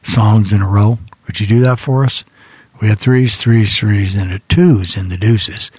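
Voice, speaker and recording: A man's voice: lowish voice, unhurried, a little mellow, husky, no room sound. A man hosting a casual radio show, chatting in a folksy, rambling way and often repeating himself.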